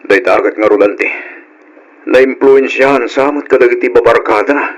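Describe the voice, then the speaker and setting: A man's voice: medium pitch, moderate pace, lightly acted, poor audio. A man playing a worried, responsible older brother with an Ilocano accent in a radio drama, earnest and admonishing. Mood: troubled, apologetic. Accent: Filipino, Ilocano.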